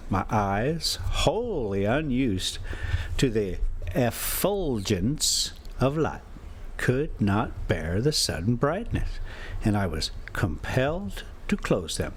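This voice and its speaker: Folksy American man with lively, swooping pitch. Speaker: travel vlogger and storyteller. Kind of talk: casual rambling chat mixed with reading a classic novel aloud.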